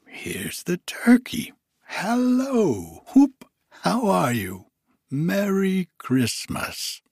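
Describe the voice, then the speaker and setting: Guy doing a giddy old miser gone all joyful, way up high and slow, husky. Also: wildly swooping pitch, darkish tone, no echo, studio quality, heavily acted. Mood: eager. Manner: exclaiming, breathless with delight. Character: giddy elderly miser reborn, man.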